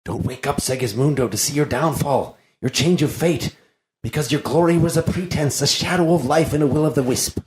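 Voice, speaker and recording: A man's voice: a little high, brisk, lightly acted. A man voicing a chatty, cowardly clown servant in a play reading, protesting in bewildered, mock-grand phrases. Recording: roomy, echoey, faintly noisy room.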